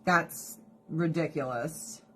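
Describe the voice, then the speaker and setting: A woman live streaming to her viewers in casual chat, blunt and profane, animated and laughing often, close to her phone camera. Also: low voice, takes it slowly, slight background noise. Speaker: direct sales rep live streaming. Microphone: phone or camera held close.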